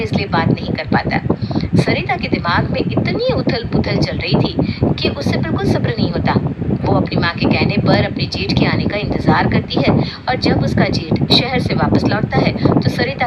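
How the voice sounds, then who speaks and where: A woman's voice: medium pitch, dull audio. Woman narrating a story, noisy track.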